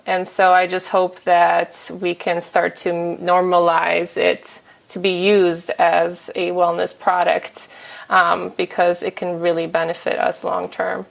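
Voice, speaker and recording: A woman's voice: fairly low pitch, vocal fry. Chatty woman, frank and full of fillers. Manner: frank, chatty, hesitant with many fillers, laughs often. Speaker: guest. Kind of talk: casual chat.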